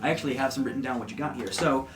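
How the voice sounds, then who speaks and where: A man's voice: mid-range voice, very fast. Man narrating a tabletop game. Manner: relaxed, rambling with fillers. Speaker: game master. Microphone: desk USB microphone. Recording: roomy with background music.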